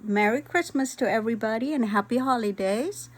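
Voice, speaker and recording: A woman, talking candidly and earnestly to her vlog audience, pausing often with "um". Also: a little high. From Vojvodina, former Yugoslavia; raised in Germany. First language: Hungarian. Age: 50s. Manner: earnest, candid, frequent "um" pauses. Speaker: vlogger. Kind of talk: personal vlog talk.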